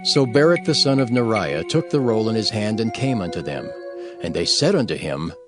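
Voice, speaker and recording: Low-voiced male scripture narrator over music. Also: big pitch swings, moderate pace. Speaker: audiobook narrator. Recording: prominent music bed under narration.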